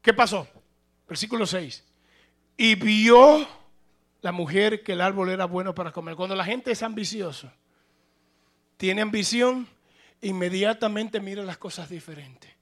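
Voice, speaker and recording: A male pastor preaching a sermon, moving between plain conversational talk and loud, shouted passages. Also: high voice, normal speed.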